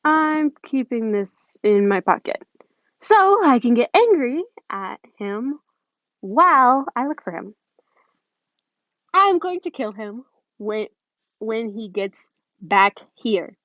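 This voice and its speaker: A woman's voice: on the high side, big pitch swings, slow pace, pauses often, a bit bright, dry recording, exaggerated voice. A woman voicing a hot-tempered, exasperated teenage girl with an emphatic manner in a story reading. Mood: vengeful. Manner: emphatic, indignant. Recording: muffled phone recording.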